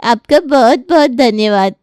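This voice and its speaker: A woman's voice: a little high, animated, measured pace, a little mellow, clear, resonant tone, dry recording, clean studio sound. A woman playing a chatty, effusive female neighbour in a cartoon story. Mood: grateful. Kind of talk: Hindi cartoon story character voice.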